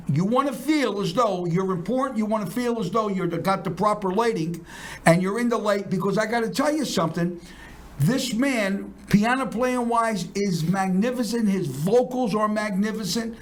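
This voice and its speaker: Rambling high-voiced showman host. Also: animated, normal speed. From South Philadelphia. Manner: boastful, rambling, jokey showman. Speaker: talk show host. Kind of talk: casual promotional chat.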